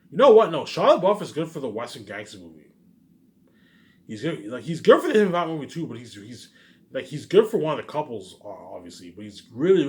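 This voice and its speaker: A man talking casually in a rambling, repetitive monologue, musing aloud like a vlogger. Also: medium pitch. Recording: noisy amateur recording.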